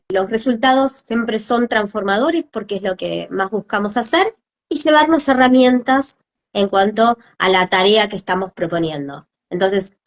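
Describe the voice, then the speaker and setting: A woman co-hosting a live talk, giving a casual explanatory presentation. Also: medium pitch.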